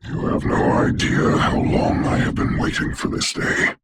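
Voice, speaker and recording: An actor voicing a commanding, haughty dark sorcerer for a video game, speaking in a formal, grandiose, deliberate way with a gravelly, breathy voice. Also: male voice, heavy background noise, natural voice. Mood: imperious, dry. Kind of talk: theatrical hero game voice lines.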